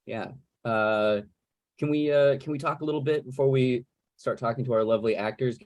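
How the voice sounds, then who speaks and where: A man's voice: medium pitch, steady tone, fairly quick, darkish tone, no room sound. A man hosting a casual moderated interview over a video call, asking chatty, rambling questions. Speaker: host, moderator.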